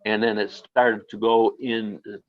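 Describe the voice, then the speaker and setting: A man telling a personal experience, speaking slowly and haltingly with many fillers. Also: fairly low pitch, little pitch movement, highs cut off. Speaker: guest.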